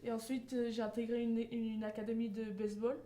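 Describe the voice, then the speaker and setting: A woman's voice: a little high, flat delivery, a little fast, dark tone. A young woman from France, answering interview questions fluently. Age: young adult. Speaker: guest, softball player.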